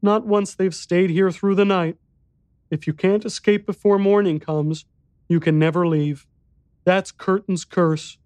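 A man performs a small boy from a dark storybook, pitched well up high. The child sounds grave and frightened, speaking with earnest seriousness. The sound is close and dry. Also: steady tone, unhurried, dry recording. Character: solemn, frightened little boy in a haunted house. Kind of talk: audiobook character dialogue.

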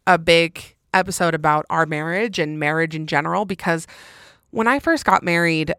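Low-pitched woman podcaster chatting candidly, close and dry. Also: dry recording. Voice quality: loud, intense voice. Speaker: podcast host. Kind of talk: casual solo chat answering listener questions.

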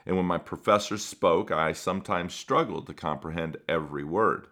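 A middle-aged man whose first language is Spanish, hosting a podcast as a former school principal and speaking in an earnest, measured way. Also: low-pitched, fairly bright tone. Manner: earnest, measured, builds lists for emphasis. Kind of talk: reflective podcast monologue.

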